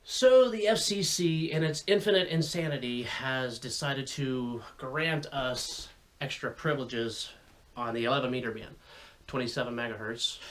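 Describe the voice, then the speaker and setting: A man's voice: medium pitch, a bit bright. A man hosting a hobby video, talking casually and explaining things with wry, sardonic asides. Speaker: radio hobbyist video host. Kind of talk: casual explanatory vlog talk.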